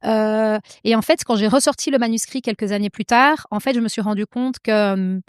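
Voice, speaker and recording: Une femme belge à l'belge, autrice invitée, qui parle de façon hésitante avec beaucoup de « euh » et de « en fait ». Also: female voice, fairly high pitch, brisk, clear, resonant tone, no room sound, studio quality. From Belgium. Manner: hesitant, many fillers and restarts. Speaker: guest author. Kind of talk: casual interview conversation.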